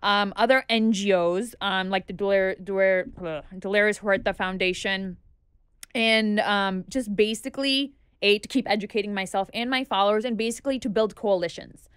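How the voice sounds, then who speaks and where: A woman in her thirties talks earnestly as a podcast guest, laying out her points one by one with plenty of ums. Her voice is smooth and clear at a middle pitch. The sound is dry and close, from a studio mic in a small treated room. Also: steady tone, moderate pace, fairly bright tone, no echo. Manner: earnest, thoughtful, lists points with frequent fillers. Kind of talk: casual interview conversation. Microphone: studio microphone on boom arm.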